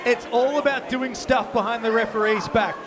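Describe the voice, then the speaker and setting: A man calling a wrestling match play-by-play, excitable and quick to banter with his co-commentator. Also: extremely high pitch. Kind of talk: live sports commentary. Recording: loud crowd noise behind commentary. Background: noisy wrestling crowd.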